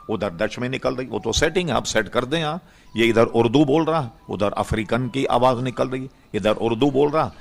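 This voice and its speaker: A man's voice: medium pitch, creaky. An older man, an Islamic scholar answering questions in an informal, explanatory, conversational way. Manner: explanatory, conversational, uses examples and check-in questions.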